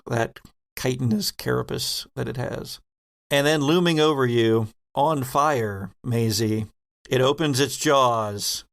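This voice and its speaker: Male game master for sci-fi horror roleplay: mid-pitched, slow and deliberate storytelling.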